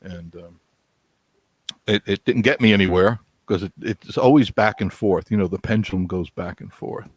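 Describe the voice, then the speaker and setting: A man in his sixties chatting casually, folksy and quick to laugh. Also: on the low side, husky, dry recording. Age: 60s. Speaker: guest on a live chat stream. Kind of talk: casual chat.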